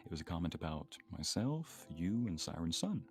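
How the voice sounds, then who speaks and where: A man's voice: deep voice, big pitch swings, normal speed, soft background music. A man chatting casually as a guest on a livestream, reading and answering questions from viewers. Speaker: guest ASMR narrator and streamer.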